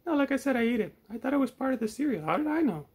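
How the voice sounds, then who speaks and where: Squeaky, high-pitched puppet creature. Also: male voice, racing, slightly rough, exaggerated voice. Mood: silly. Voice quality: squeaky, strained falsetto. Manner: excitable, cheeky, teasing. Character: silly, gluttonous, cheeky fuzzy puppet creature. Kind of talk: improvised puppet show.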